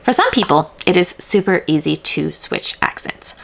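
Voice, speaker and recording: Woman from South Africa, vlogger, chatty and upbeat, lowish voice, bit of vocal fry. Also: expressive, moderate pace, slight background noise. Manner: chatty, upbeat, direct to viewer. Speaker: vlogger giving accent advice. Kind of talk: casual advice to camera.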